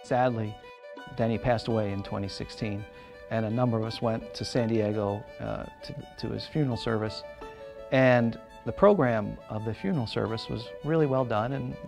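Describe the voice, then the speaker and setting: A middle-aged man telling a story in a measured way during a seated interview. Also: on the low side, gravelly. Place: indoor press room, seated interview. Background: music.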